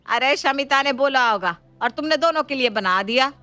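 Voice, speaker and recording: A woman's voice: high-pitched, expressive, normal speed, bright, forceful tone, clean tone, dry recording, voice pushed up. A woman voicing a stern, bossy middle-aged mother-in-law who speaks emphatically in a family story. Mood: scolding. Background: music.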